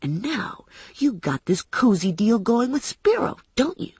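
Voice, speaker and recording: A woman's voice: fairly high pitch, big pitch swings, measured pace, a little mellow, slightly rough, dry recording, muffled recording, pitched above the performer's own voice, exaggerated voice. A woman voicing a cocky, belligerent young male fugitive who taunts and threatens in an audiobook. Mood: menacing.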